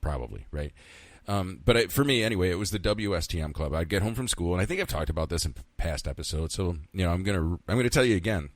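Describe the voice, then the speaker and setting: A man's voice: low-pitched, animated, fast talker, no echo. A man in his fifties hosting a solo podcast, rambling casually, talking to himself and swearing now and then. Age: 50s. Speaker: podcast host.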